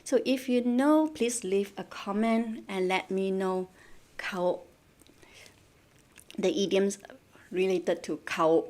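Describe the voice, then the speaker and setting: A woman from Malaysia teaches a live online Japanese class, speaking into a computer mic. She repeats key words and poses questions to her viewers, moving between English and Japanese. Her voice sits at a middle pitch for a woman. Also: slow, pauses often, a little mellow.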